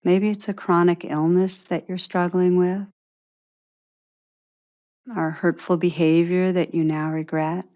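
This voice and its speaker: A woman leading a guided meditation, speaking gently and deliberately, with spacious pauses between phrases. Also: fairly low pitch, little pitch movement.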